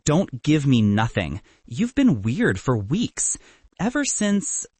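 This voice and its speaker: Casting: man playing exasperated young woman in audiobook drama, confrontational, emphatic, swooping pitch, husky voice. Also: huge pitch swings, slow, a little mellow, no echo, exaggerated voice. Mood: demanding. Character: exasperated, outspoken young woman, the student's girlfriend.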